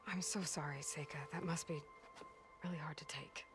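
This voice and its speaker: An actress playing an earnest, determined young female adventurer in a video game cutscene, speaking in a measured, understated, sincere way. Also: deep voice, steady tone, music in the background, close to an everyday voice. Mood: sympathetic, practical.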